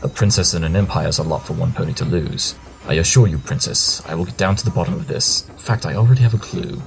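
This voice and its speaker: A man voices an eccentric cartoon pony detective with a deep voice. He speaks in a breezy, theatrical, self-assured way, with music faintly behind him. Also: quick pace, gravelly. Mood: confident. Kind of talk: fan audio drama acting.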